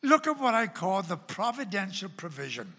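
Male Welsh pastor, Texan traces, lively sweeping pitch, long reverberant church hall. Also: moderate pace, gravelly, slightly rough. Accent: Welsh with Texan and Oklahoma traces. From Wales. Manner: dramatic, wide swings from loud emphasis to hushed asides. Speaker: pastor teaching a class. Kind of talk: sermon-style Bible teaching.

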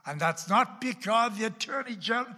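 Welsh-accented preacher with theatrical swings. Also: male voice, high-pitched, animated, a little fast. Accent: Welsh with Texan and Oklahoma traces. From Wales. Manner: dramatic, wide swings from loud emphasis to hushed asides. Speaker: pastor teaching a class. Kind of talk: sermon-style Bible teaching. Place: church. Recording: long hall reverb.

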